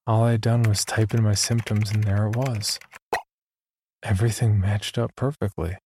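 A man performing scripted first-person horror narration as an uneasy young man, in a measured, confiding way with deliberate pauses. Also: lowish voice, steady tone, a little mellow, breathy edge. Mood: reflective, uneasy. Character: uneasy young man recounting his sleep disorder. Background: background music.

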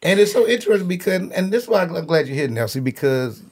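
A man's voice: high-pitched, very animated, quick pace, a crowd in the background. A man hosting a podcast in a loose, slangy, casual chat, laughing often. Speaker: podcast host.

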